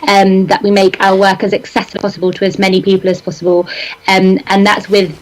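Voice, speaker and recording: A woman's voice: mid-range voice, monotone, fast, noisy background. A woman from an arts organisation answering a caller on a live show, thoughtful and speaking with conviction.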